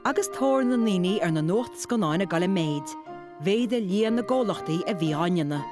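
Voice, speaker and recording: A woman's voice: medium pitch, animated, quick pace. An Irish woman reads scripted documentary narration as a voice-over. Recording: voice-over with music behind. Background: music.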